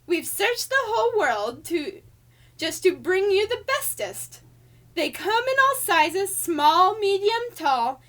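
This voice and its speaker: A woman's voice: extremely high pitch, big pitch swings, slow, a bit bright, heavily acted. A woman voicing a female cartoon angel who reads a rhyming storybook to children in a showy, sing-song way with exaggerated emphasis. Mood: bright, excited. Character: Alice Angel, female cartoon angel reading a bouncy birthday storybook.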